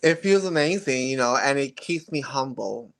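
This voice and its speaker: A man's voice: mid-range voice, animated, normal speed, rough recording. A man in his forties, a model interviewed as a guest, speaking earnestly and reflectively about his journey. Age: 40s. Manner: earnest and reflective, with occasional laughs and restarts. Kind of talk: casual interview chat.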